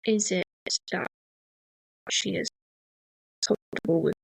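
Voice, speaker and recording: A woman hosting a podcast, talking in a rambling, hesitant way with many fillers and restarts, and deliberately speaking a little slower than usual. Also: fairly low pitch, steady tone, gravelly, husky, no echo. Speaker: podcast host. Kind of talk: casual rambling monologue.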